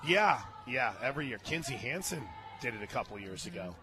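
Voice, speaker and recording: An American man doing live play-by-play radio commentary on softball, chatting between the calls. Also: medium pitch, big pitch swings, brisk, low-quality recording. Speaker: play-by-play announcer. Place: outdoor stadium radio booth.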